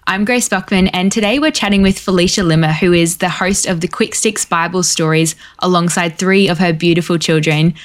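A woman hosting an interview, with a slightly low voice. She asks steady questions and encourages her guest in a friendly way. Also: brisk, few pauses. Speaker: guest host.

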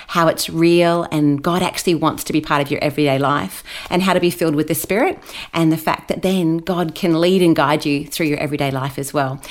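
A chatty woman talking as a guest in a relaxed interview. Her voice sits a little low, and she talks in an animated way and laughs easily. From New Zealand, raised in Australia. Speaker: guest, children's Bible story host. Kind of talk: casual interview chat.

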